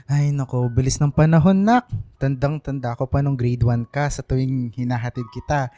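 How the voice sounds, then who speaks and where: A man's voice: medium pitch, steady tone, normal speed, warm tone, dull audio, soft background music, close to an everyday voice. A man playing a doting, teasing middle-aged father in a Filipino radio drama, affectionate and full of exclamations. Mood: fond teasing.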